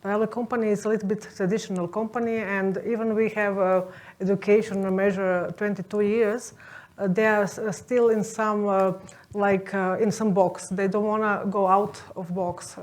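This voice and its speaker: Flat-toned woman with non-native English accent. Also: mid-range voice. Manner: halting, searching for words. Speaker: non-profit and railway panelist. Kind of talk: spontaneous panel answer.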